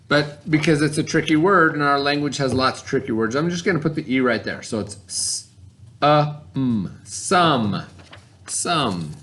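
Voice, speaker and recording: A man's voice: fairly high pitch, a bit bright, slight background noise. A man teaching a remote reading lesson to children in an informal, instructional way, carefully sounding out letters and words. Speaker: elementary school teacher.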